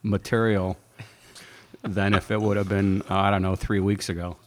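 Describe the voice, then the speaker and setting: Casting: middle-aged or older man, low register, relaxed conversational guest, reverberant room recording. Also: moderate pace. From born in Czechoslovakia, moved to America at six. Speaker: podcast guest. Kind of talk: casual chat. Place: club podcast studio with car theme.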